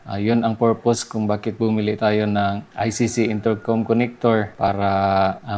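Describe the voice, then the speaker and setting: A Filipino man with a fairly low, smooth voice presents riding gear like a motovlogger. He explains things casually and step by step, speaking straight to his audience. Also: darkish tone. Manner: explanatory, addresses viewers often. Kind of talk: casual product demonstration.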